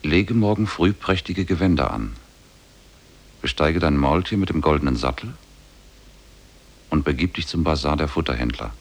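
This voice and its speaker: Spirit in ape form, bottomless bass, formal, measured and commanding, vintage radio play. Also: male voice, expressive, slightly rough, exaggerated voice. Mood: solemn, commanding. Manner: measured, solemn, formal storybook speech. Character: male spirit in ape's shape, solemn and commanding. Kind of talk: 1950s German radio play acting.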